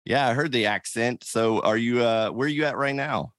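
A man's voice: mid-range voice, moderate pace, a bit bright, dry recording, clean studio sound. A man hosting a podcast, talking in a casual, upbeat way as he introduces his guest. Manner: casual and upbeat, reads ad copy. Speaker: podcast host. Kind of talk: podcast interview.

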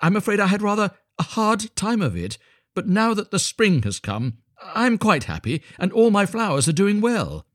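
Man doing high, eager, humble little gardener. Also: big pitch swings, moderate pace, fairly bright tone, exaggerated voice. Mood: bright, grateful. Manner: eager, grateful, hesitant. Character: little Hans, cheerful, humble, naive gardener. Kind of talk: storybook character voice.

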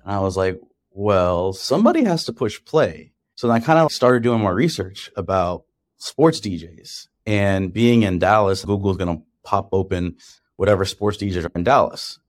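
A man guesting on a podcast who tells his story in a relaxed way. His voice sits somewhat low. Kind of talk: interview answers.

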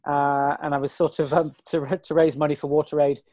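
A man in his forties, a guest on an interview, talking in a chatty, self-deprecating, rambling way with a hoarse, weary voice. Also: on the high side, flat delivery, a little fast, mellow, dry recording, studio quality. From Britain. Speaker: guest, ultrarunner. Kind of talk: casual interview chat.

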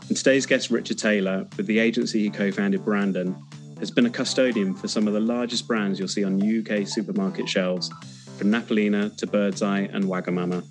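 Casting: male podcast host, low register, interview delivery, light music bed. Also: steady tone, fairly quick. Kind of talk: scripted introduction and conversational interviewing.

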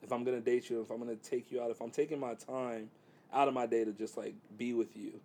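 A man in his thirties with a gravelly, mid-range voice talks casually about dating. He rambles in a laid-back way and leans on fillers as he thinks. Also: medium pitch, flat delivery, quick pace, darkish tone. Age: 30s. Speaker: guest.

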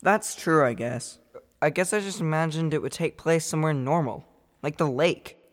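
A woman's voice: sits low, moderate pace, in a large hall, lightly acted. A woman voicing a wary, hesitant boy. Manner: hesitant, wry. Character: cautious thoughtful young boy.

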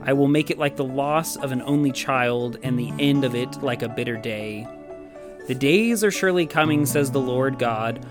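Man reading scripture over prominent guitar music. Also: mid-range voice. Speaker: scripture reader. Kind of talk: solemn scripture reading aloud. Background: soft acoustic guitar music.

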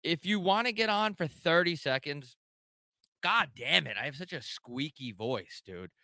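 A man's voice: fairly high pitch, lively intonation, measured pace, bright, forceful tone, no echo, clean studio sound. A young man hosting a live call-in show, joking and rambling with loose, profane banter. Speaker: show host taking live callers. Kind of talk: casual rambling chat.

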